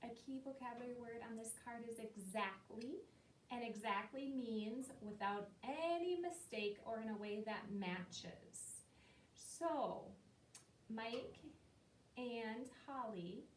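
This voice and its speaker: Woman, teacher, highish voice, patient, asks kids questions, echoey room, distant mic. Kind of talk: classroom lesson talk to young students. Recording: roomy and distant, faint rumble.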